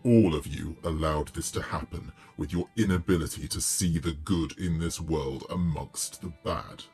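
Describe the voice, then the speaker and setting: Abyssally deep, slow demon. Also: male voice, gravelly, heavily acted. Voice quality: deep, booming. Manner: slow, solemn, menacing pronouncements. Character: huge inhuman smoke demon, ancient, wrathful judge. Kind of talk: horror audio story acting.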